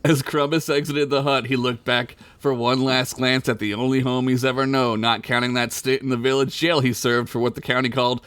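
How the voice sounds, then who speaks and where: A man's voice: mid-range voice, flat delivery, brisk, few pauses, fairly bright tone, smooth, no echo. A young man narrating an improvised comedic story in a crude, self-amused way, often breaking into laughter.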